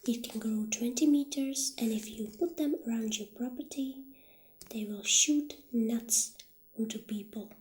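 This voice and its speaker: Soft, whispery woman in slow ASMR roleplay. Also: a little high. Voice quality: soft, breathy, often whispery. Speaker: ASMR performer playing a witch shopkeeper. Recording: roomy echo, audible background noise.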